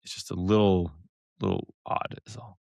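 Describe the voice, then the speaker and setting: Low-voiced man in rambling podcast chat. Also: flat delivery, moderate pace, gravelly, a little mellow, dry recording. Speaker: podcast co-host reviewing an audiobook. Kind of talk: casual review chat.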